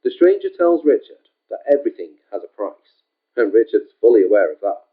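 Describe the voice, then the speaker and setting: A man's voice: lowish voice, big pitch swings, a little fast. A man reading his own short story aloud in a casual, homespun way, leaning often on the word "just". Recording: tinny phone-like sound.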